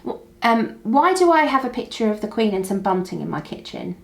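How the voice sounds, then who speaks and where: A woman's voice: fairly high pitch, sing-song, gravelly. A young woman, born in Denmark, hosting a casual chat with her mother and cutting in with opinions. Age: young adult. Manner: chatty, opinionated, quick to interject. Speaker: channel host. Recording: echoey room.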